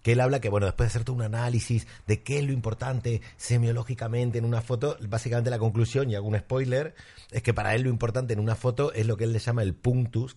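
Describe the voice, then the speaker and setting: Fast-talking Argentine man, mellow low voice. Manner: digressive, explanatory. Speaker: podcast co-host. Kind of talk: casual literary chat.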